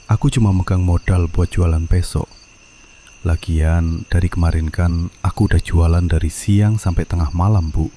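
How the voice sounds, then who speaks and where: A man voicing a patient, poor middle-aged satay seller, meek and soft-spoken. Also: deep voice, slight background noise, natural voice.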